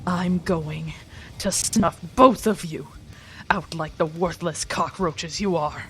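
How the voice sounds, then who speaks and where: Slow, menacing cyborg woman, acted out by a woman. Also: fairly low pitch, steady tone, soft background music. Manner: formal, clipped, threatening. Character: cold, menacing, imperious female cyborg villain. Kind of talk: audio drama villain acting.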